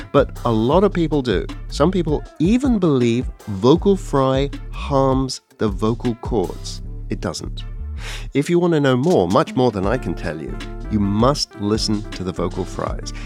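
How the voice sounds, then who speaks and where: A man's voice: mid-range voice, darkish tone. Wry man reading a podcast promo. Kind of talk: scripted promo read.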